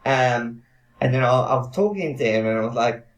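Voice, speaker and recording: A man's voice: medium pitch, lively intonation. South african guy hosting a chat, lots of likes and restarts, roomy echo on his mic. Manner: halting, filler-heavy, frequent restarts. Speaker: host. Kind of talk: casual video-call interview.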